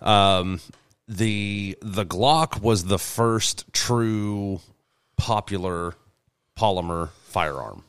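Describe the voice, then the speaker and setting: A man's voice: on the low side, very slow, clean tone, no echo, clean studio sound. A man in casual conversation, speaking bluntly and swearing freely. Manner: profane, blunt. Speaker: podcast co-host. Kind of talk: casual chat.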